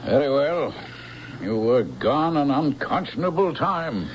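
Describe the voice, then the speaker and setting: Imperious emperor, swooping pitch. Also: male voice, lively intonation, unhurried. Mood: imperious, impatient. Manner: haughty, imperious. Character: imperious, impatient male emperor of a dystopia. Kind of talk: vintage radio drama acting. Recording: muffled, hissy old radio recording.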